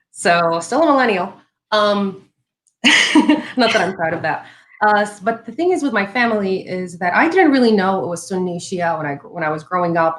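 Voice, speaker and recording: A woman's voice: medium pitch, a bit bright, roomy sound. A woman in her thirties from Saudi Arabia, a guest telling her story in a casual interview, talking with many fillers and restarts. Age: 30s.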